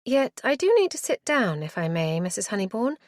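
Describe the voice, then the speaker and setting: A woman's voice: medium pitch, very animated, brisk, no room sound, studio quality, lightly acted. A woman reading aloud, voicing a young noblewoman who speaks with polite restraint. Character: young noblewoman, composed, recovering from childbirth.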